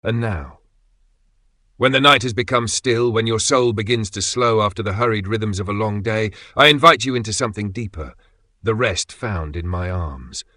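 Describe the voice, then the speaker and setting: A man reading a scripted devotional message in the voice of God, gentle and tender, often sinking to a near whisper. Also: fairly low pitch, normal speed, no room sound, clean studio sound.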